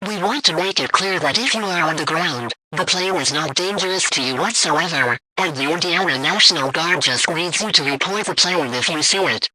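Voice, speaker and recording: Male emergency alert announcer, very sharp bright tone, dry sound, formal scripted delivery. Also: on the high side, moderate pace, hardly any pauses, no echo, low-quality recording.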